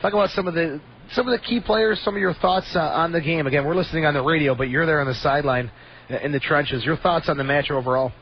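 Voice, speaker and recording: An upbeat man hosts a sports call-in show with a fairly high voice. The audio is dull and lo-fi with faint hiss. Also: fast talker. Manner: upbeat, moves the show along.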